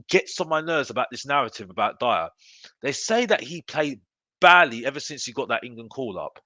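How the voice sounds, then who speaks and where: A man's voice: fairly high pitch, no echo. A man hosting a football fan vlog, giving an opinionated rant in an emphatic, repetitive way, leaning on rhetorical questions. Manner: addresses listeners as bro and ladies and gentlemen. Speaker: football fan channel host.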